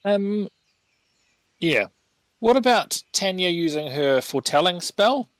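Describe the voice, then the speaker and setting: A man's voice: high-pitched, big pitch swings, slow pace, dry recording. A man chatting casually as a player in a tabletop game, tentative and often searching for his words.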